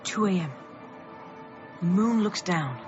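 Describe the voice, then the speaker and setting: A woman's voice: on the low side, animated, slow. A woman playing an anxious, cautious thirteen-year-old small-town boy in a radio drama, earnest and hesitant. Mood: hushed awe. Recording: dull lo-fi audio under loud music.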